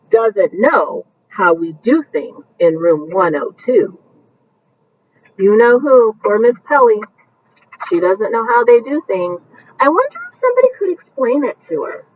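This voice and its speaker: A woman reads a children's picture book aloud as a bedtime story, her pitch swinging widely as she talks about the pictures. She sits a little back from the mic. The sound is dull, with a faint hiss underneath. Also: distant mic. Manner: animated, pausing to point out pictures to young listeners.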